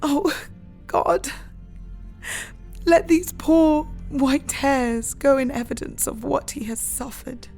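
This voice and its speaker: Grieving victorian wife, audio drama, rather high voice, very slow n pleading, music underneath. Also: female voice. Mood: sorrowful pleading. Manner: slow, imploring, hushed outbursts. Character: grieving, devout young wife pleading tenderly for mercy.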